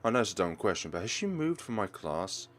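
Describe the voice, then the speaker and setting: An anxious teenage student in a visual novel, read by a man, talks in a low voice. The delivery is polite, reserved and subdued, with varied pitch. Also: very animated, fairly quick. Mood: hesitant.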